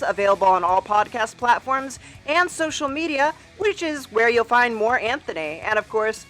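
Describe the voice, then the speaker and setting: A woman speaks as a podcast host with a somewhat high voice. Her delivery is casual and playful, and faint music can sit behind her. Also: pushed and bright. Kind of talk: playful character interview and casual sign-off.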